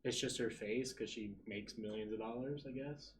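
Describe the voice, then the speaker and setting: A man's voice: lowish voice, steady tone, normal speed. A man in his twenties hosting a casual podcast chat, laid-back and swearing freely, in a small studio room. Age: 20s. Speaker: podcast host.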